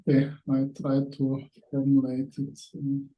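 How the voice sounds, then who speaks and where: A man chairing a work meeting on an online call, speaking haltingly with frequent pauses. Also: mid-range voice, monotone, roomy sound, lo-fi sound.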